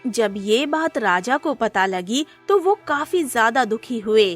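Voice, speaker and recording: A woman tells a scripted moral tale in a fairly high voice. Her pace is very unhurried, and music plays faintly behind the narration. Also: lively intonation. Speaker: storyteller.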